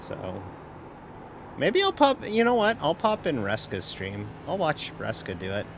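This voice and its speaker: A man's voice: a little high, huge pitch swings, measured pace, creaky, noisy background. A man streaming a sim race, chatting casually in a rambling, self-mocking way, full of fillers and casual swearing. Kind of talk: casual live-stream chat while racing.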